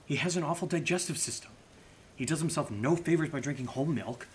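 A man's voice: on the high side, fast talker, a little hiss or hum, natural voice. A man playing a sarcastic, hot-headed, foul-mouthed amateur sleuth in an audio drama, in naturalistic banter. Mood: indignant. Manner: sarcastic, profane.

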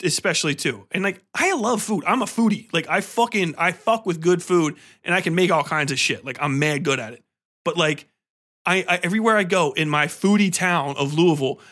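A man's voice: high voice, pushed and bright. A man with an American accent hosting a podcast in a casual comedic rant, slangy, swearing freely and emphatic with exasperation. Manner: slangy, conversational. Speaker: podcast host.

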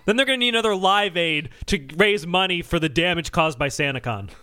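A man's voice: sits high, expressive, brisk, bright, forceful tone, smooth. A man hosting a comedy podcast, talking casually with a sarcastic edge.

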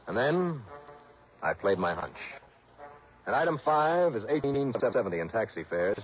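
A man's voice: mid-range voice, sing-song, unhurried, slight background noise. A man with an American accent playing a wry, hard-boiled insurance investigator in an old-time radio detective drama, speaking in clipped tough-guy style. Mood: dry narration. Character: hard-boiled, dryly confident male insurance investigator narrating his case. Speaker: lead radio actor. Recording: dull, lo-fi 1960s radio broadcast.

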